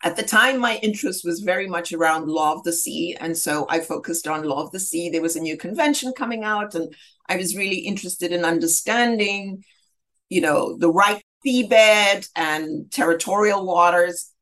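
A middle-aged woman from southern Africa telling her personal story as an interview guest, in a measured, reflective and articulate manner. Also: on the low side, a bit bright. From southern Africa, grew up in Zambia. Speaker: interview guest, human rights lawyer.

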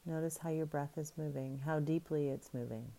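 A woman guiding a breathing meditation in soft, soothing, unhurried instructions. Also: sits low, gravelly, poor audio. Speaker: meditation guide.

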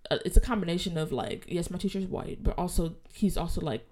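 A woman's voice: on the low side, fairly quick, a little mellow. A young adult woman, a student, chatting casually and thinking aloud in hesitant fragments. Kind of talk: casual chat.

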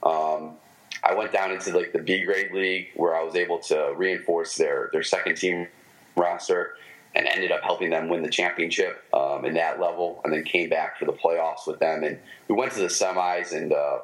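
A man with a Michigan American accent, a former pro baseball player telling stories in a casual interview, halting with many fillers and restarts. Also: low voice, fairly even intonation, fairly bright tone, low-quality recording.

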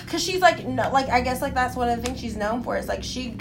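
A woman's voice: a little high, expressive, fairly quick, soft background music. A woman in her twenties, a podcast guest chatting bubbly and casually, with a slightly nasal voice and many "like"s. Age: 20s. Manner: bubbly, fillers like "like" constantly. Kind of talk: casual chat.

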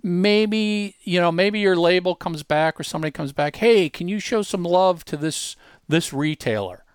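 A man presenting a software demonstration in a conversational, instructive and emphatic tutorial style. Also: high-pitched, animated, moderate pace, clean tone, no echo, clean studio sound. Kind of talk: informal tutorial walkthrough.

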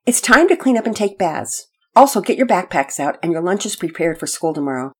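A firm, practical mother in a children's story, acted by a woman reader. She is instructive and matter-of-fact, with an animated rise and fall. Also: fairly low pitch, a little fast, husky. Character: firm, practical mother giving a familiar lecture.

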